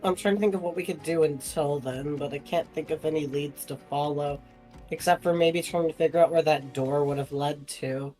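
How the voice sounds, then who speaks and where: Guy with a highish voice chatting in a tabletop game, soft music under him. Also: steady tone, normal speed. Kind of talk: casual game-table chat.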